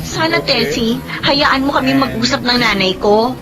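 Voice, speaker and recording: A woman's voice: a little high, moderate pace, close to an everyday voice. A woman with a Filipino accent playing a caring, protective grown child in a radio drama. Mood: urgent, protective. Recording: loud music under the voice.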